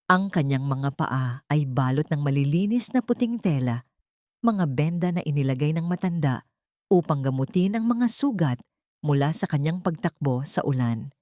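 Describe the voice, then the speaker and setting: A woman's voice: low voice, animated, normal speed, a little mellow, no room sound, studio quality. A woman with a Filipino accent narrating a dramatic story as a scripted audiobook.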